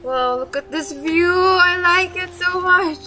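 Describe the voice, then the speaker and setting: A woman's voice: very high-pitched, slow, sharp tone. A woman streaming a game, giving casual live reactions. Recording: music behind the voice.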